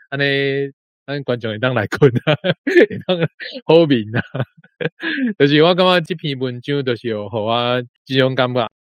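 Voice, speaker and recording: A man with a Taiwanese accent casually sharing his thoughts on a story as a guest, halting and repeating himself phrase by phrase. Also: mid-range voice, dry recording. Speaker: book club guest. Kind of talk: casual spoken reflection on a story.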